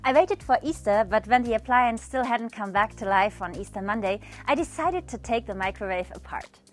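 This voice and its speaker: A woman's voice: on the high side, animated, normal speed. A woman, giving a scripted presentation as an artist. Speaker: textile artist presenting own project. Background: music.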